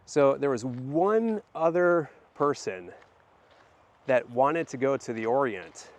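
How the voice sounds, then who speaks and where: Hoarse man rambling in a casual vlog. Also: medium pitch, animated, slow pace. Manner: rambling, many fillers and restarts. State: hoarse, voice deepened by illness. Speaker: channel host. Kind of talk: casual walk-and-talk vlog.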